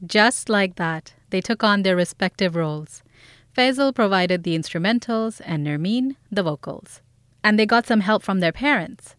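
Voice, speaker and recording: A woman's voice: mid-range voice, expressive, normal speed, clear, resonant tone, dry recording. Woman narrating a documentary, dry sound. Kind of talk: scripted audio documentary narration.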